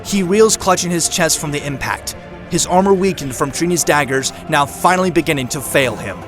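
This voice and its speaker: A man's voice: fairly high pitch, brisk. A man narrating an action audio drama from a script, describing the scene in the present tense. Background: dramatic music.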